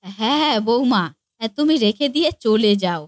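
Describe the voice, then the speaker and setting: A woman voicing a suspicious, bossy older mother-in-law in a family comedy cartoon, nagging with rapid questions. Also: on the high side, lively intonation, dry recording, studio quality, close to an everyday voice. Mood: wary, dismissive. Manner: nagging, quick-fire questioning.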